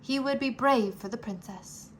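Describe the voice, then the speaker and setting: A woman reading a children's storybook aloud, slow and expressive, dropping to a whisper at quiet moments. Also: on the high side, slight background noise. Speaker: storybook reader. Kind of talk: children's read-aloud narration.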